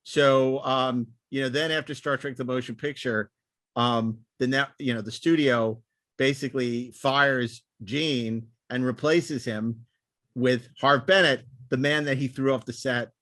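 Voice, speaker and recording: Male podcast co-host, medium pitch, close dry sound, halting repetitions, anecdotal explaining. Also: fairly even intonation, fairly bright tone, no room sound. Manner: halting, repeats words, emphatic storyteller. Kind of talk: casual anecdotal panel discussion, explaining history.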